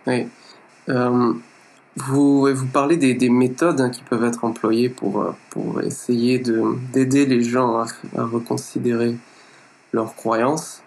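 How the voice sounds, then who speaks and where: A man hosting an interview and asking his question in measured, hesitant phrases. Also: mid-range voice, little pitch movement, a little mellow, rough recording. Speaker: podcast host, interviewer.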